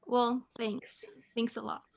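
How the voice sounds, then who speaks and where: Radio play mother: female actor, patient, mildly amused, unhurried, slightly high pitch. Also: little pitch movement, vocal fry, exaggerated voice. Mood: amused, indulgent. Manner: gently chiding, unhurried.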